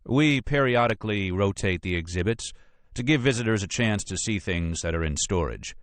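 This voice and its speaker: Man playing an irritable, self-important male lawyer, forcefully emphatic. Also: on the low side, lively intonation, normal speed, dry recording, voice pushed up, natural voice. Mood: stonewalling. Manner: emphatic, pompous, impatient. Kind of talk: audiobook character dialogue.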